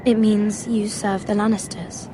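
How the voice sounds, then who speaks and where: An actress playing a polite, wary young noble handmaiden in a dramatic video game, guarded and questioning. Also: female voice, mid-range voice, little pitch movement, unhurried, noisy background, natural voice. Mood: nervous.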